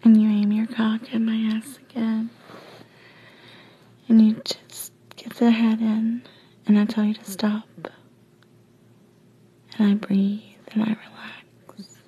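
A woman narrating intimate, sensual first-person erotic audio for adults in a hushed, breathy whisper. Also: medium pitch, dull audio. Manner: hushed, slow, sensual delivery.

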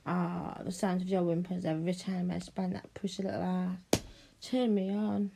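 A woman's voice: fairly low pitch, level tone, moderate pace, vocal fry, noisy background. A woman performing a scripted audio roleplay, domineering and teasing, often dropping into whispers.

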